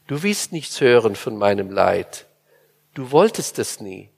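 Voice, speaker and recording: A man's voice: on the low side, sing-song, a little slow, gravelly, darkish tone, voice pushed down, full character voice. Give me a man reading as a brooding, bitter exiled poet, in a room with a long echo. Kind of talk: audiobook reading.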